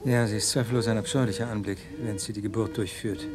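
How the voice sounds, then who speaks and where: An actor playing a fastidious scientist of a dystopian colony, speaking deliberately and with disdain in a radio play. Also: male voice, lowish voice, steady tone, over music, natural voice. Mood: disgusted. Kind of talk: 1970s German radio play acting.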